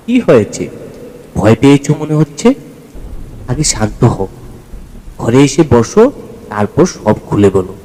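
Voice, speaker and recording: A man's voice: mid-range voice, animated, unhurried, long pauses, warm tone, voice pushed down, natural voice, low-quality recording. A man voicing a tired, kindly village doctor in a cartoon ghost story, full of questions and exclamations. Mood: concerned, soothing. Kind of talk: cartoon horror story acting.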